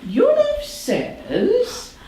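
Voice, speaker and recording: A woman voicing a bawdy old nurse who groans over her aches and giggles while she teases. She drags her words out slowly with huge leaps in pitch. The room echoes and noise is clear behind her. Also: huge pitch swings, warm tone, heavily acted. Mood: grumbling. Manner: moaning, giggling, teasing, dragging out words. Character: bawdy aching old nurse, teasing and grumbling. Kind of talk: stage acting of verse drama.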